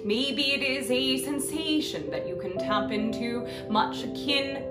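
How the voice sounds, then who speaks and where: A woman voicing a benevolent, serene extraterrestrial guide in a guided meditation, with deliberate, hypnotic, repetitive phrasing and long pauses. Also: a little high, close to an everyday voice. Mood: soothing, wondering. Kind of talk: slow channeled guided meditation. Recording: roomy echo, soft music behind.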